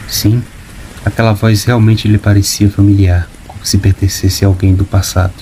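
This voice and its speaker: A man narrating an audiobook story in a measured, even reading. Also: fairly low pitch, slight background noise, rough recording. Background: background music.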